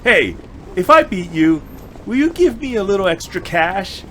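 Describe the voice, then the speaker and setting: A man's voice: high voice, big pitch swings, normal speed, a bit bright, slight background noise. A man playing a sly, money-minded hostess club tout and former fighter in an amateur anime-style drama, smug, teasing and given to philosophizing.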